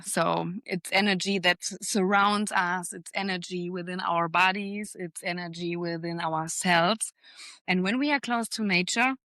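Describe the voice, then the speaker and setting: A young adult woman co-hosting a podcast, talking calmly and reflectively and pausing to search for words. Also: fairly low pitch, no echo. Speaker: podcast co-host and forest bathing trainer. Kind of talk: casual podcast chat.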